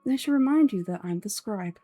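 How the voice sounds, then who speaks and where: A woman's voice: mid-range voice, animated, fairly quick, dark tone, no room sound, soft background music, close to an everyday voice. A woman voicing an aloof, matter-of-fact male scholar in a game script, dry and pedantic. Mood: dismissive.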